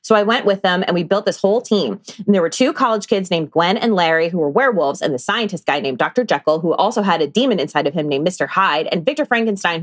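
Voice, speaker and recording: A woman's voice: lowish voice, no echo, clean studio sound, lightly acted. A woman playing a chatty, earnest amateur ghost hunter in an audio drama, rambling in a breezy conversational way. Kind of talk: audio drama table read.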